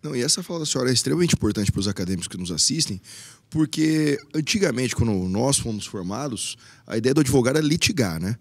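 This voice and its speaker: A man from Curitiba, a law professor hosting a podcast interview, asking questions in a lively, chatty way. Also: mid-range voice, fast talker, no echo. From Curitiba, Brazil.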